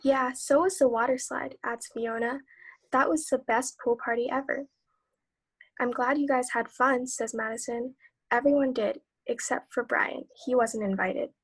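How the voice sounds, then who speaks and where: A woman's voice: high voice, steady tone, unhurried, breathy edge, low-quality recording. A woman reading a children's picture book aloud. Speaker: read-aloud reader.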